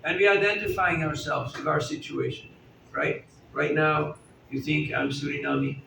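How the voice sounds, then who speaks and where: A man's voice: on the high side, wildly swooping pitch, moderate pace, gravelly. A man giving a chatty, conversational sermon to a live audience, often asking them questions and joking. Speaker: spiritual teacher giving a talk. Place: indoor hall at a festival gathering. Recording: echoey hall, distant mic, faint room noise.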